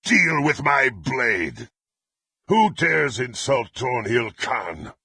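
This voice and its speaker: Fantasy game villain warrior: low, heavily gravelled and husky, brutal and imperious, swinging widely in pitch. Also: male voice, very animated, extremely slow, sharp tone, no echo, exaggerated voice. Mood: menacing. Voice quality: gravelly, raspy. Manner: threatening, imperious, archaic formal diction. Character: brutal, menacing half-orc warrior serving a demonic patron. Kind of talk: fantasy video game character acting.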